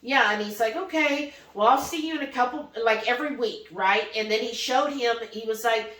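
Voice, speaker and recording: A woman with a fairly high voice tells a personal story in a casual, chatty way. She often slips into quoted dialogue as she goes. The sound is roomy and echoing, picked up some way from the microphone. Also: normal speed. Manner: animated retelling, often quoting dialogue in acted voices. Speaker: vlog host. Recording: roomy echo, distant microphone.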